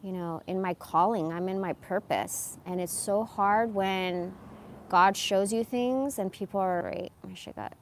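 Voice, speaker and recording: Woman in her 40s just rambling on a livestream, mid pitch, slangy and blunt, really emphatic. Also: a little slow, a little hiss or hum. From born in Iran, moved to America as a young child. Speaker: live streamer. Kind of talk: casual, rambling personal monologue.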